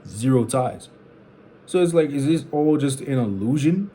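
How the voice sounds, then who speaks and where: A man's voice: mid-range voice, lively intonation, a little slow, warm tone, slight background noise. A man, rambling through unfiltered thoughts as a vlogger, often restarting his sentences. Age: 20s. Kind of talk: unfiltered thinking out loud.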